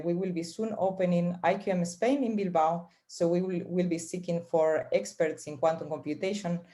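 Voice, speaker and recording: A woman's voice: fairly low pitch, dark tone. A woman finishing an online conference presentation, talking with many hesitations and fillers. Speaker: conference speaker. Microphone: video call.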